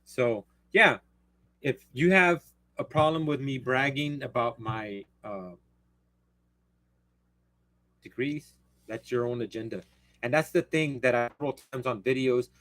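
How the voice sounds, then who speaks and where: A man's voice: medium pitch, moderate pace, fairly bright tone, no room sound, low-quality recording. Rambling man, dry close sound. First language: Spanish. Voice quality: rambling, self-interrupting, blunt. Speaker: livestream host. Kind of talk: casual live-stream chat.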